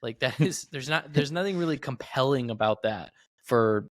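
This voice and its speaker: A man's voice: mid-range voice, lively intonation, normal speed, no echo. An American man co-hosting a motorsport podcast, talking casually and arguing his point with rhetorical questions. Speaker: podcast co-host and professional racing driver.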